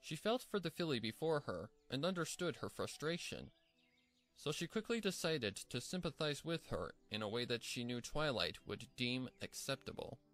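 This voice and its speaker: Lively male story narrator. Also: mid-range voice, normal speed, faint music behind. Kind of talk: scripted storybook narration of fan fiction.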